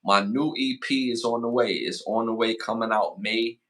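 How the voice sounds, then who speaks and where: A man in his forties hosting a casual podcast, giving motivational advice and shout-outs, repeating phrases for emphasis. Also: mid-range voice, steady tone. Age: 40s. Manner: hypes the chat with rapid shout-outs. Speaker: podcast host.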